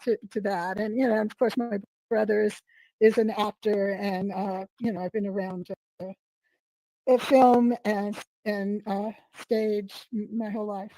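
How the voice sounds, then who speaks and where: A middle-aged woman, hoarse from a cold, talking in a rambling way with many fillers as a guest on a video call. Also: mid-range voice, fairly even intonation, unhurried, warm tone, no echo, poor audio. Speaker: guest artist. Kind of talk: casual interview chat.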